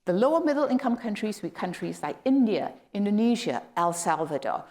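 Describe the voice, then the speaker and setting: A woman's voice: mid-range voice, big pitch swings, brisk, darkish tone. A middle-aged woman from Malaysia giving an academic lecture as a guest speaker. She explains steadily and lifts her voice to stress key words. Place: lecture hall.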